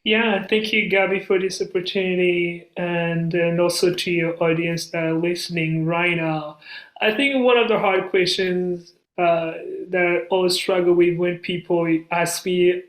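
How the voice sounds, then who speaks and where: A man's voice: sits high, flat delivery, unhurried, a bit bright. A man from Burundi, a guest on an interview podcast, telling his story in an earnest, storytelling way. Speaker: guest, nonprofit founder. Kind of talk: casual interview talk. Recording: roomy echo.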